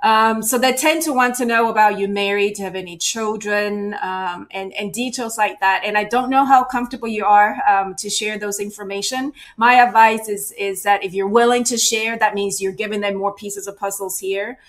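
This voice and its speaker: A woman's voice: mid-range voice, steady tone, normal speed, hardly any pauses. A woman giving a virtual workshop as a cultural awareness trainer, talking conversationally and checking in with the audience often. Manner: conversational, checks in with frequent "right?" and "you know". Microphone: video call.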